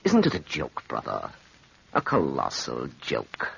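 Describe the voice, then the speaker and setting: A man's voice: extremely low pitch, wildly swooping pitch, a little slow, vocal fry, a bit bright, slightly rough, full character voice. An actor playing a cold, mocking, doomed man in theatrical 1940s radio style, on a dull old recording. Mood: bitterly amused. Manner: theatrical, sardonic.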